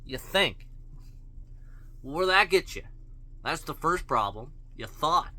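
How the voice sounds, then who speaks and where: Male vlogger, blunt profane ranter; slightly raised pitch, piercing tense timbre. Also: expressive, slow pace, sharp tone. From Canada. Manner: blunt, profane, rambling rhetorical questions. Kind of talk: opinion rant to camera.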